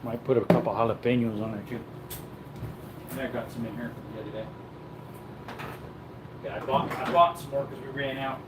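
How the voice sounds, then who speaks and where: A man's voice: medium pitch, fairly even intonation, normal speed, long pauses, very noisy. A man casually chatting to viewers while cooking at home, rambling in an easygoing way. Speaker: cooking livestream host. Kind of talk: casual live-stream chat while cooking.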